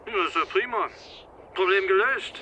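Cynical cop on the phone, radio play, unbothered, noisy line. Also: male voice, high-pitched, moderate pace, bright, forceful tone, lo-fi sound. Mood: flippant, dry. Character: unruffled, cynical village policeman on the phone. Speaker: actor in a radio drama.

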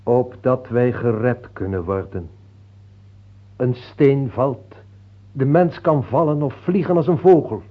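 A devout, unbending priest in a radio play. The voice is rough and husky, solemnly exhorting like a preacher. The recording is narrow and tinny. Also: male voice, mid-range voice, slow. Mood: solemn, firm. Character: stern devout male priest counselling a dying king. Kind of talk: Dutch radio play acting.

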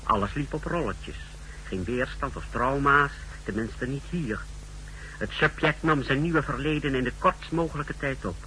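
Clinical male intelligence technician, very bright, incisive voice, precise delivery, old hissy radio drama. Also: medium pitch, animated, normal speed. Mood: clinical, formal. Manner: formal, precise, explanatory. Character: clinical secret service technician briefing his superior. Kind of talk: 1960s radio drama acting. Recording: noisy, humming 1960s radio recording.

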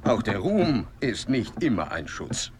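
A man's voice: fairly low pitch, sing-song, a little slow, slight background noise. An actor playing a dignified, anxious old male explorer in a German radio drama, speaking formally and courteously. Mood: guarded.

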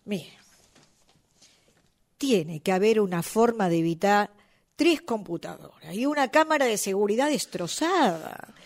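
A woman playing a patient but firm official in a radio drama, questioning an offender in a measured, formal, reasoning way. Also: mid-range voice, expressive. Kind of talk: radio drama workshop acting.

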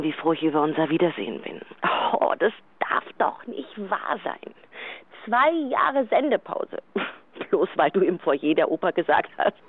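A woman's voice: on the low side, dry recording. An actress playing a chatty, blunt, teasing middle-aged woman friend, gossiping and exclaiming in a radio drama phone message. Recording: tinny phone answering machine line.

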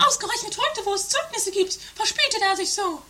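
A woman's voice: very high voice, expressive, brisk, pushed and bright, faint music behind, heavily acted. A woman playing a bossy, melodramatic wife and mother in an improvised radio comedy, with theatrical, overwrought delivery. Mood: whiny, overwrought. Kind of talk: improvised trashy 1980s radio comedy acting.

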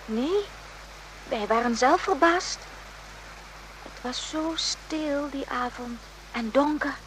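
A woman playing a timid, earnest young nurse in a radio play, speaking halting broken sentences. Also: sits high, fairly bright tone, slight background noise, low-quality recording. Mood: hushed, wondering.

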